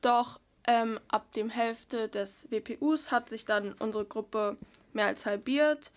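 A woman's voice: fairly high pitch, slow pace. A teenage girl presenting on a school radio show, reading from a prepared script in a somewhat stiff way. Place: radio studio.

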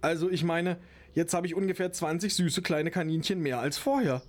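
A man's voice: fairly high pitch, normal speed, close to an everyday voice. A man playing a chatty, practical rabbit breeder in an amateur radio play. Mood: flustered.

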